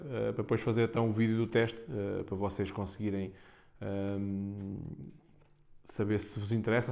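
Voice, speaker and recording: A man with a European Portuguese accent talks casually and unscripted to viewers as he presents a product, improvising loosely and repeating himself. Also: lowish voice. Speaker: vlogger presenting a product unboxing. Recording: dull, muffled lo-fi sound.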